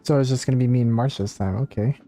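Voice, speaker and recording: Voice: medium pitch, fast talker, mellow, soft background music. A video game streamer giving casual live commentary while playing.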